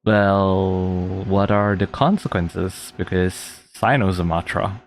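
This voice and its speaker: A man's voice: low-pitched, fairly even intonation, slow pace, a little hiss or hum. A man giving casual, chatty live commentary as he reacts to a story-driven video game. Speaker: streamer playing a story game.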